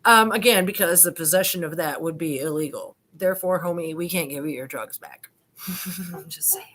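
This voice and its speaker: A woman's voice: on the low side, normal speed, a bit bright. A woman hosting a video, reading aloud and commenting in a colloquial, digressive, self-deprecating way. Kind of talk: informal dramatic reading with running commentary.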